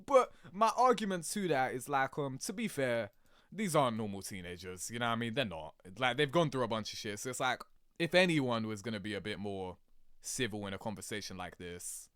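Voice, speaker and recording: Young man, casual gaming commentary, slangy, very animated pitch, dry close sound. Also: dry recording. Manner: slangy, swearing, repeats "you know what I mean". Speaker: gaming reaction host.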